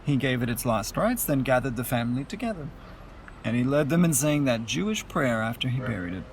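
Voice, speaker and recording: A man playing a gentle, easygoing, wry older relative at a wake, in radio play dialogue. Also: mid-range voice, very animated, brisk, noisy background. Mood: reflective, gentle.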